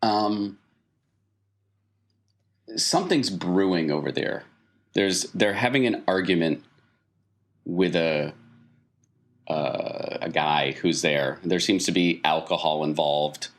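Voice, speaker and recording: An American man from small-town Maine improvising tabletop game narration as game master, building each scene in halting steps. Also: deep voice, animated.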